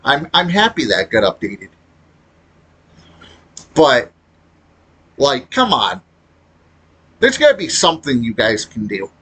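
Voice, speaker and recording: A man giving unscripted, casual gaming commentary in a rambling, halting way, often trailing off mid-thought. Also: mid-range voice, wildly swooping pitch, bright, forceful tone, breathy edge. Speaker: video creator commenting on a game.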